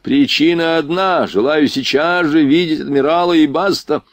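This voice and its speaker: A man's voice: a little high, normal speed, dry recording, voice pushed up, lightly acted. A man voicing a drunken, arrogant grand duke in an audiobook, imperious, contemptuous and bullying. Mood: haughty, irritated. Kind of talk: audiobook character dialogue.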